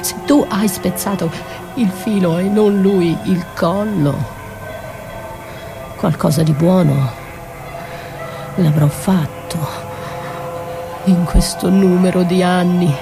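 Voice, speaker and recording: An actress playing a battered, dreamy and desperate wife in a poetic theatrical radio monologue, halting and repeating her words. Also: fairly low pitch, steady tone. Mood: subdued, weary. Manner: halting, repeating words, swinging from murmur to cries. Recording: music under the voice. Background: music.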